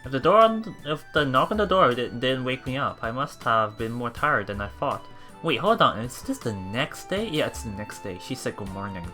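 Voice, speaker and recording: A man with a bright, forward voice narrates a game casually. He reads text aloud and thinks out loud in a halting way, over faint game music. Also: mid-range voice, expressive. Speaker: let's play host.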